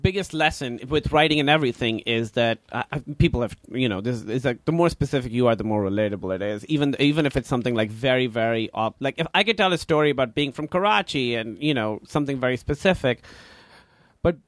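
A man's voice: medium pitch, expressive, fast talker, no room sound. A man with a Pakistani accent, a stand-up comedian talking candidly as a guest in a casual conversation, with frequent fillers.

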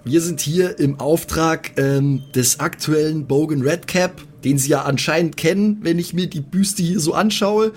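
A man's voice: a little high, unhurried, hardly any pauses, a little hiss or hum. A man playing along in an improvised tabletop role-play, speaking in a wary, insistent manner. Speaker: player in a tabletop audio drama.

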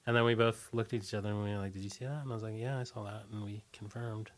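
A man's voice: lowish voice, fairly even intonation, racing. A man talking casually as an interview guest, telling anecdotes in a loose, fragmented way. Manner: loose, fragmented storytelling peppered with 'like'. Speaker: musician being interviewed as guest.

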